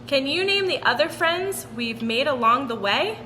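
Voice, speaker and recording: Lady doing storytime for kids, really lively up and down voice, reverby room w some noise. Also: sits high, normal speed. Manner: animated, sing-song storytelling. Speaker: children's storytime reader. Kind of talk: expressive picture-book read-aloud for children. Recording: roomy long reverb with background noise.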